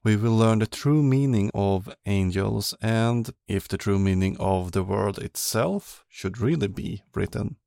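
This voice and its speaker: A man's voice: on the low side, a little slow, gravelly, few pauses, mellow, no echo, clean studio sound. A man hosting a podcast, reading a lightly joking intro, then interviewing his guest. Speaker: podcast host.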